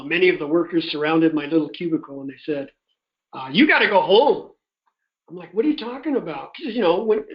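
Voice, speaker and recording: Higher-pitched man on a dull call recording. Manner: animated retelling with quoted dialogue. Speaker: host telling his personal health testimony. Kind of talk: informal personal storytelling on a conference call. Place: conference call. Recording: dull, muffled conference-call audio.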